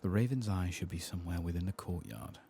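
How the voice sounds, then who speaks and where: A man gives hushed, unhurried ASMR commentary over a game. His voice is low with a gravelly fry and often drops to a whisper, with faint noise and clicks behind. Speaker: gaming ASMR streamer.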